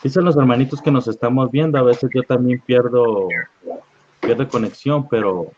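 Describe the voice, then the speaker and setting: A man teaching a Bible study over a live online stream, his voice sitting in the middle of the male range. He reads verses slowly and keeps asking his listeners "¿verdad?". The audio is dull and lo-fi, like a video call. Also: mid-range voice, darkish tone, slight background noise. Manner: unhurried, reads scripture aloud, often adds "¿verdad?".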